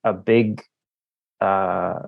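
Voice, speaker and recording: A man in his forties giving casual, halting, self-deprecating answers as an author being interviewed. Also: deep voice, steady tone, gravelly, phone-quality sound. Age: 40s. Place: remote call.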